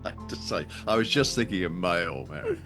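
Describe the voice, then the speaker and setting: Low-voiced man co-hosting, wry delivery. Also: very animated, a little fast, a bit bright, soft background music. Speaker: radio show co-host. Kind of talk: conversational commentary on an old radio drama.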